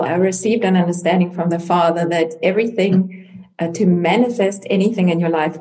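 South african lady, afrikaans first language, lowish mellow voice, earnest easy interview chat. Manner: earnest, warm about her faith, laughs easily. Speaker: guest.

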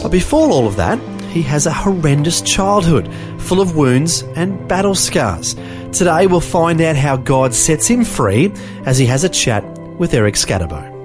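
A man's voice: on the high side, big pitch swings, normal speed. A man with an Australian accent, hosting a radio program with a scripted introduction. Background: instrumental music.

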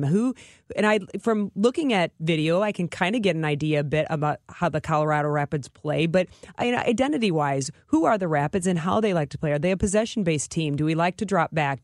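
Woman co-hosting sports talk, casual and halting. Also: on the low side, darkish tone, dry recording, clean studio sound. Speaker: sports show co-host. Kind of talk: casual conversational interviewing.

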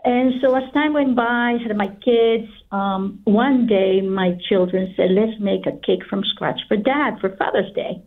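A middle-aged woman from Guatemala talks as an interview guest in a conversational storytelling way. Her voice sits at an average pitch and comes through narrow and phone-like, a little away from the mic. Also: unhurried, few pauses. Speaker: interview guest, company founder. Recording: slightly distant, narrow phone-like sound.

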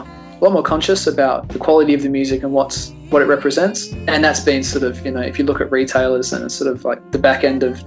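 A man speaking fluently in an interview, conversational and full of fillers like "you know" and "like.". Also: medium pitch. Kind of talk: interview answer. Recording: slightly dull, music underneath.

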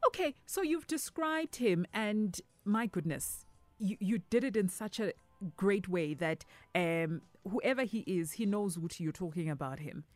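A woman's voice: mid-range voice, lively intonation, a little slow, darkish tone, dry recording, soft background music. A South African woman hosting a radio dating segment, teasing and mixing English with Zulu. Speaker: radio host. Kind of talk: casual radio chat. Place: radio studio.

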